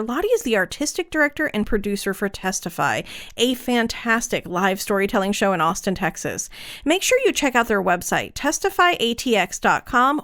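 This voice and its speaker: A woman's voice: medium pitch, fairly bright tone. A woman hosting a podcast, with an enthusiastic, effusive and friendly way of talking. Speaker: podcast host. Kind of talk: scripted introduction and friendly interview.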